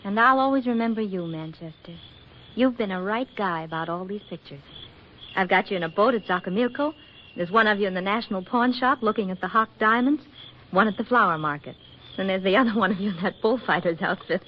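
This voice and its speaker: An actress playing a flippant, independent career-woman photographer with a soft romantic streak, in 1940s radio drama style. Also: medium pitch, a little fast, lightly acted. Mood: breezy. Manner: breezy, flippant banter. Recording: muffled, noisy 1940s radio recording.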